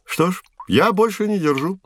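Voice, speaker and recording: A man playing a patient science teacher who guides children through a scripted educational audio drama with measured, didactic explanations. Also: high-pitched, expressive, fairly bright tone, slight background noise. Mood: instructive. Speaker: presenter.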